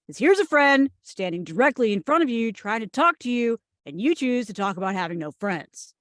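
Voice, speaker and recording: A woman in a comedic script read, playing a blunt, pushy, oddball friend with swaggering confidence and emphatic, deadpan delivery. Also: a little high, fairly quick, dry recording, studio quality. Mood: confrontational, goading. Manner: emphatic, forceful, deadpan boasting. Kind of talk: screenplay table read, comedic acting.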